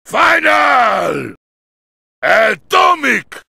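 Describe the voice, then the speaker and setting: A massive, fierce Russian wrestler from a fighting game. He shouts his boasts and battle cries in a deeply gravelly voice with a Russian accent, pushed high with strain. The delivery is bombastic and taunting. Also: huge pitch swings, extremely slow, no echo, studio quality, exaggerated voice. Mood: roaring effort. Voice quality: gravelly, raspy.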